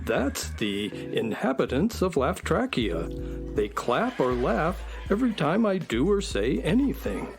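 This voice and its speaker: A woman doing a low, fussy animal sidekick. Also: very animated, unhurried, full character voice. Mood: amazed. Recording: music bed under voice.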